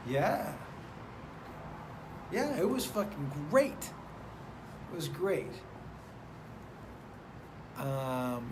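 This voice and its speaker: A man hosting a casual live stream, chatting loosely and profanely, reading out viewer names and rambling. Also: on the high side. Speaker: host of a live stream show. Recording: noticeable background noise.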